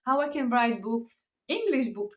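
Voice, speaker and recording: A woman's voice: on the high side, sing-song, normal speed, distant mic, muffled recording. A woman whose first language is Slovak, speaking English with a Slovak accent in an earnest, encouraging faith talk, using simple non-native grammar.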